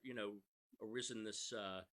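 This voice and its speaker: A man's voice: fairly low pitch, steady tone, moderate pace, fairly bright tone. A man speaking earnestly and conversationally as an interview guest, sometimes repeating words as he starts a phrase. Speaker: screenwriter and podcast creator, interview guest.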